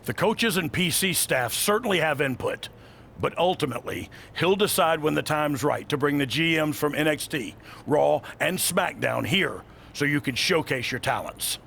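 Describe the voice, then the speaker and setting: A man's voice: on the high side, expressive, moderate pace, gravelly, a bit bright, slight background noise. A man playing a blunt, authoritative veteran wrestling coach in a video game cutscene. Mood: official, firm. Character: gruff, no-nonsense male veteran wrestling coach.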